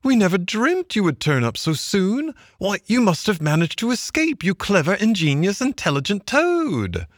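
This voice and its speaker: A small, excitable storybook mole, with a very high, squeaky voice. He exclaims eagerly and grumbles just as readily, his pitch leaping about in a close, dry recording. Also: unhurried, few pauses, slightly rough, dry recording, full character voice. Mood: delighted. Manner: exclamatory, effusive. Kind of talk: storybook character voice.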